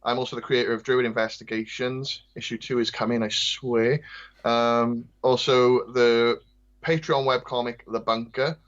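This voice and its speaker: A man with a Welsh accent, a podcast guest and comic artist, chatting in a soft, light, self-deprecating way. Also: mid-range voice, level tone, moderate pace, dull audio. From Wales. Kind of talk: casual chat.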